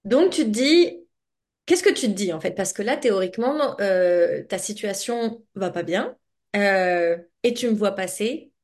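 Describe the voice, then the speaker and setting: A woman hosting a podcast interview in a casual, chatty and expressive way, speaking informally as a coach. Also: mid-range voice, measured pace. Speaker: podcast host and money coach.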